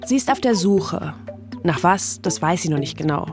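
A woman's voice: lowish voice, lively intonation, normal speed. A woman podcast host, reading scripted storytelling narration. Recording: music playing behind the voice. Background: music.

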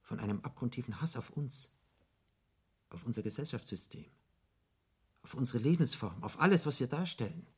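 An actor playing an eloquent devil in a radio drama, arguing in emphatic, elaborate rhetoric as a persuasive tempter. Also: male voice, medium pitch, very animated, moderate pace, long pauses, breathy edge. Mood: indignant, lurid.

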